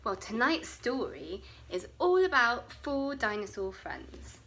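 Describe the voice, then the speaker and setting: Slow, high-voiced woman storyteller. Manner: expressive, slow, often hushed to a whisper. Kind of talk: bedtime story read-aloud.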